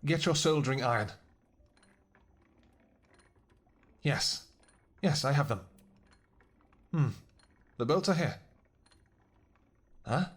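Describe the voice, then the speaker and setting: A man playing a tender, protective vampire king in a roleplay audio drama, speaking formally and earnestly, with halting phrases. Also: mid-range voice, animated, slightly rough. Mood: focused, affectionate.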